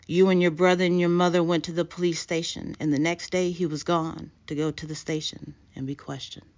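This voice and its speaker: A calm, solemn blind street seer , recited by a woman, foretells troubles in rhyming narrative verse. The man speaks like an oracle, foreboding and steady. The recording is dull and lo-fi. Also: on the low side, a little fast, natural voice. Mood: solemn foretelling.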